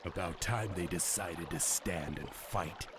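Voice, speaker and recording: An actor playing a hot-headed samurai warrior with a forceful, urgent manner in a video game cutscene. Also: male voice, sits low, unhurried, heavy background noise. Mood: scornful anger.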